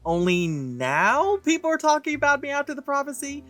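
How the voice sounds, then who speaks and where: Let's play host, very animated pitch swings, playful sarcasm, casual reactions over game music. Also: normal speed.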